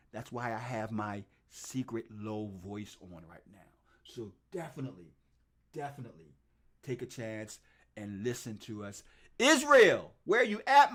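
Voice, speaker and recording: A middle-aged man hosting a livestream for his real estate investing channel, shouting out viewers by name and talking through his video playlists with upbeat enthusiasm. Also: mid-range voice. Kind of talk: casual livestream shout-outs and channel tour.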